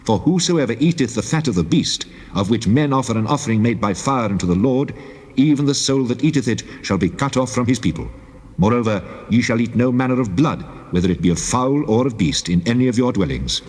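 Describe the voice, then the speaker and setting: A man playing the Lord God as a solemn, commanding lawgiver, declaiming in measured, resonant phrases in a dramatized scripture reading. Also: mid-range voice, expressive, darkish tone, slightly rough. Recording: long cavernous reverb.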